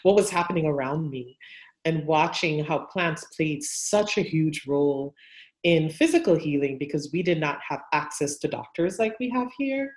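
A woman's voice: sits low, expressive, moderate pace, darkish tone, roomy sound, rough recording. A woman from Guyana, a guest herbalist and spiritual healer, telling her story thoughtfully and unhurriedly with frequent ums. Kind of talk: relaxed interview storytelling.

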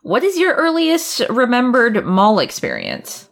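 A woman co-hosting a podcast in casual, playful chat, quick to giggle. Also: on the high side, huge pitch swings, creaky. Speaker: podcast co-host.

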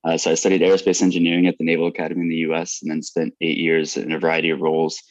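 An American man in his thirties, a student guest answering interview questions casually, with halting restarts and fillers. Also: very deep voice, completely flat delivery, no room sound. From United States. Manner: halting, many restarts and fillers, laughs lightly. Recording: dull lo-fi dry sound.

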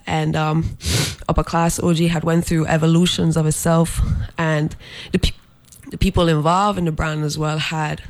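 Man, gravelly and flat, halting guest spot with lots of ums, dry sound. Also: fairly high pitch, dry recording. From Saint Croix, US Virgin Islands. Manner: halting, many filler pauses. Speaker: guest, fashion brand designer. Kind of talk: interview promoting an event.

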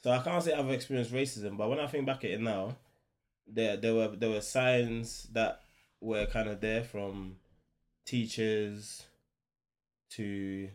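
A man with a lowish voice who grew up in Ghana talks in a casual group discussion. He tells his stories in a steady, reflective way and thinks back as he speaks. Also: measured pace.

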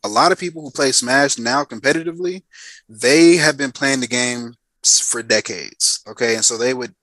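A man's voice: mid-range voice, normal speed, a bit bright, no echo. A man in his thirties, a podcast guest, telling a story in emphatic casual chat and repeating lines for effect. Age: 30s.

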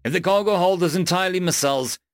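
A man's voice: high voice, fast, no room sound, pitched above the performer's own voice, close to an everyday voice. A man voicing a timid female alien crew officer who speaks nervously and fearfully.

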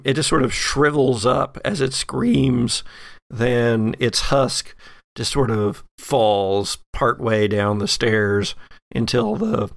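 A man narrating a tabletop horror game as game master, in halting, descriptive phrases. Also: medium pitch, gravelly, no echo, clean studio sound.

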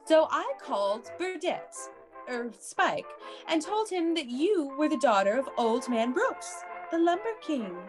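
A woman's voice: high-pitched, big pitch swings, a little slow, low-quality recording. An actress playing a wry, meddling former house mother in a classic radio play, arch, knowing and theatrical. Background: music behind the voice.

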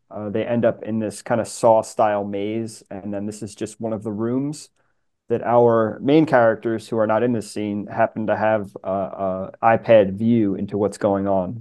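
Mellow guy podcast guest, lowish dark voice, casually pitching movie style.

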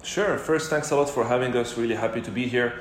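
A man's voice: medium pitch, fast talker, roomy sound, slight background noise. A man from Morocco, a startup co-founder, telling his career story fluently as a podcast guest. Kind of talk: casual interview.

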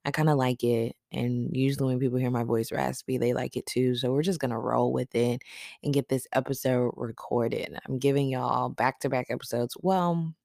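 Faith podcast host with a raspy, gravelly morning voice; chatty, speaks directly to listeners; dry room. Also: mid-range voice, fairly even intonation, normal speed, darkish tone, dry recording. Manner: chatty, affectionate toward listeners. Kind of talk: casual faith podcast talk.

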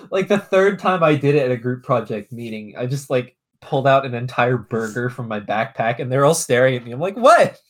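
A woman's voice: rumbling low, animated, moderate pace. A young adult woman, a dungeon master, chatting casually on a podcast, rambling, swearing and laughing often.

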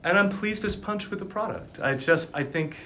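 A man's voice: high-pitched, lively intonation, bright, forceful tone, a little hiss or hum. A man working as a dialect coach, talking articulately and enthusiastically in an interview. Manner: articulate, enthusiastic, quick bursts of speech. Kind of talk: conversational interview.

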